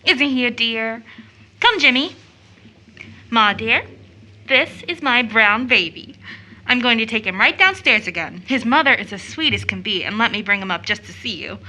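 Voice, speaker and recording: A woman's voice: fairly high pitch, animated, normal speed, bright, forceful tone, poor audio. A woman acting a sweet, spirited, devoted young daughter in an audio drama, girlish and affectionate. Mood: bright, affectionate.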